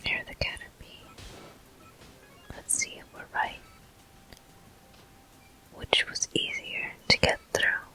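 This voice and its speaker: A woman hosting a gameplay video in ASMR style, murmuring softly and gently as she comments. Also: extremely high pitch, steady tone, soft background music, rough recording. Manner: soft, gentle, unhurried murmuring. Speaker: gameplay host.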